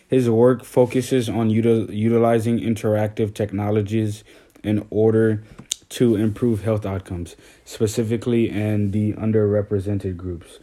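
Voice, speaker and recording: A young man from Haiti, a graduate student, hosting a podcast in a steady, slightly halting way. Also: lowish voice. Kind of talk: semi-scripted podcast hosting.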